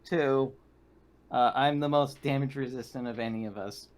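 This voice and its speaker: A man's voice: mid-range voice, moderate pace. A man playing a tabletop roleplaying game, talking casually with the group about his moves.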